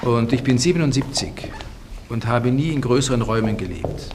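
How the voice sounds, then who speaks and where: Man telling what somebody said, medium pitch, noisy clicky recording. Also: lively intonation, normal speed. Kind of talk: spontaneous spoken recollection. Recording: clearly noisy clip with clicks.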